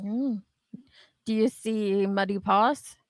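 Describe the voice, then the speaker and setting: A woman's voice: mid-range voice, slow pace, dry recording. A woman co-hosting a casual chat, reacting to comments in a sassy, teasing way. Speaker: co-host reacting to viewer comments.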